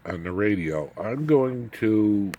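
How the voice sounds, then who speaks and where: A man giving an informal, unscripted hands-on demonstration as a hobbyist, haltingly, muttering asides to himself as he works. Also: on the low side, lively intonation, mellow, highs cut off. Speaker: hobbyist radio builder demonstrating his equipment. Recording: clear background noise, room ambience.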